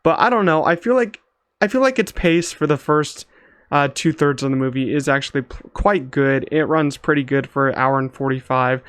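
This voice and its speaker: A man's voice: fairly high pitch, normal speed, fairly bright tone, smooth, no room sound. Casual vlog reviewer, young man, clean voice with very little room sound, slangy and hedging. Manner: loose, hedging, slangy, frequent "like". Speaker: movie reviewer, channel host.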